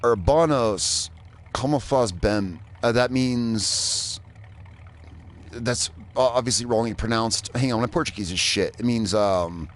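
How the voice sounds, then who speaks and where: A man's voice: medium pitch, a little slow. A man from Indiana, streaming a game and chatting loosely, rambling and swearing as he plays. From Indiana, USA. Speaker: gaming streamer. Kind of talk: casual live gameplay commentary.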